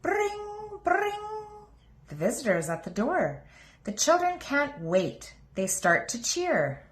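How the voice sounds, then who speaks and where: A woman's voice: mid-range voice, big pitch swings, a little slow. A woman reading a picture book aloud to young children as a storybook narrator.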